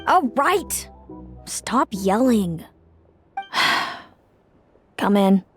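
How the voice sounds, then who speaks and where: A woman's voice: medium pitch, big pitch swings, drawn-out words, soft background music. A woman playing an aloof, brooding fae in a video game, speaking in a guarded, cryptic and solemn way. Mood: guarded, warning. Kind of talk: dramatic video game voice acting.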